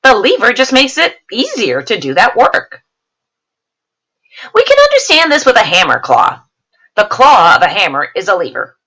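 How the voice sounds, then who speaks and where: A woman's voice: fairly high pitch, wildly swooping pitch, moderate pace, a bit bright. A woman teacher reading a science lesson aloud, explaining it to students and checking in with questions.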